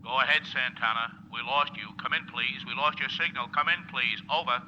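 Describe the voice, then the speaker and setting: Sharp, clipped Coast Guard operator. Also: male voice, mid-range voice, fairly quick. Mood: brisk, official. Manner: clipped, official. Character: brisk, official Coast Guard radio operator. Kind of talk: 1940s radio drama acting. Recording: dull, noisy vintage radio broadcast.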